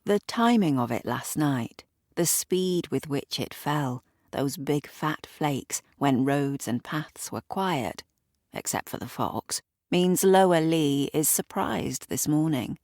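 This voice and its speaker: Low-voiced, unhurried woman telling a story. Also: lively intonation, darkish tone, no room sound, studio quality. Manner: conversational asides to the listener, acting out characters. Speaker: narrator of an audio drama. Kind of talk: dramatic present-tense storytelling.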